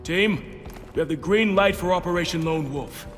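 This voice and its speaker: An actor playing a determined special-forces team leader in a video game, grave and commanding, with clipped military delivery over a cinematic score. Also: male voice, high-pitched, normal speed, a bit bright, soft background music.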